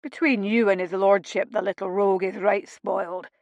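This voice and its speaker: A woman voicing a gruff, kindly older stable master with a Scottish accent and folksy, blunt speech. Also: mid-range voice, steady tone, moderate pace, darkish tone, dry recording, lo-fi sound, close to an everyday voice. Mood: gruff, fond.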